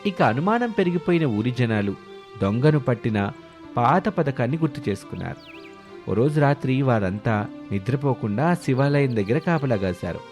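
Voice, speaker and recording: A man's voice: mid-range voice, lively intonation, normal speed, a little mellow, faint music behind. A man telling a scripted moral tale as storyteller.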